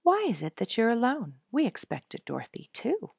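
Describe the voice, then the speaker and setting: A woman's voice: fairly high pitch, huge pitch swings, moderate pace, creaky, a little mellow, slightly rough, dry recording, studio quality, voice pushed up, heavily acted. A woman voicing a concerned, direct grown woman in a storybook, probing and sympathetic. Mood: puzzled.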